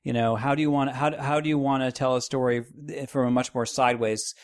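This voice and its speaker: A man in his forties speaks in a medium-pitched voice. He chats informally and reflectively, circling back and restarting as he explains things as a podcast guest. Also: fairly even intonation, quick pace. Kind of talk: casual panel chat.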